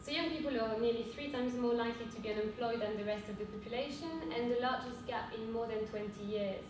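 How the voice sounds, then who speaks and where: A young woman with a French accent giving an earnest conference talk, pausing often with fillers. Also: steady tone. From France. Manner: earnest, hesitant with frequent fillers. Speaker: cooperative speaker. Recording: echoey hall from far off with rumble.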